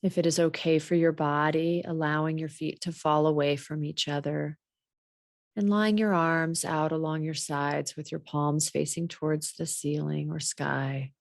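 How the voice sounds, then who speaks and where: A woman guiding a body scan meditation in a measured, gently instructional way. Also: sits low, fairly even intonation, gravelly, dry recording, clean studio sound. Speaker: meditation teacher.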